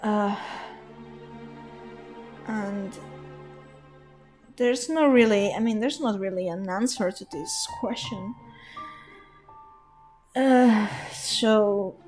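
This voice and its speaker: A woman's voice: mid-range voice, extremely slow, pauses often, a little mellow. A woman streaming a video game, thinking aloud in a rambling, casual way with many restarts. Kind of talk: casual live gameplay commentary. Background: game music.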